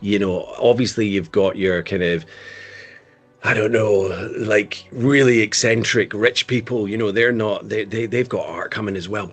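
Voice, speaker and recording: A middle-aged man with a West of Scotland Glasgow accent talks as a podcast guest over a remote link. His voice sits a bit low, and he tells stories in an animated way, often restarting his sentences. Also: breathy edge. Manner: animated storyteller, frequent restarts. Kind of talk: casual conversation.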